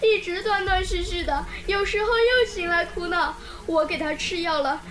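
A woman's voice: extremely high pitch, normal speed, fairly bright tone. A woman playing a frightened young village girl in a radio drama, pleading urgently and tearfully. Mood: anxious, tearful. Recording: music under the voice.

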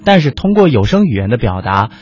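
A man co-hosting a radio programme in conversational mainland standard Mandarin, measured, with small pauses. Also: medium pitch, big pitch swings, a little mellow, breathy edge. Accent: mainland Chinese standard Mandarin. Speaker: radio host. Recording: slightly dull audio with music underneath. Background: background music.

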